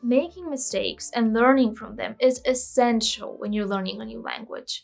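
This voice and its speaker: A woman's voice: a little high, moderate pace, creaky, faint music behind. A woman in her twenties from Bulgaria, a native Bulgarian speaker, narrating a scripted vlog in a candid, self-deprecating way. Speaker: language-learning vlogger.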